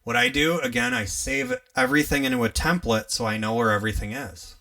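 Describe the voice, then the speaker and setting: Gravelly bright-voiced upstate New York man. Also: medium pitch, a little fast, recorded from a distance. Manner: relaxed, chatty, laughs often, talks while working. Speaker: livestream host teaching music gear. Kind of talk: casual live tutorial.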